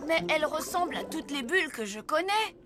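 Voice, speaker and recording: A woman's voice: high-pitched, expressive, brisk. A woman voicing an eager, earnest young boy apprentice in a French cartoon, with a wide-eyed delivery. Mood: amazed. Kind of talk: French animated series dubbing.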